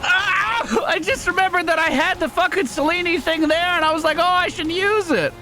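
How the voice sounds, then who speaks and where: A man's voice: very high-pitched, steady tone, normal speed, music in the background, rough recording. A man giving casual, chatty gameplay commentary as a streamer, informal in his wording. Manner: chatty, informal, reads game text aloud. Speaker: gaming streamer.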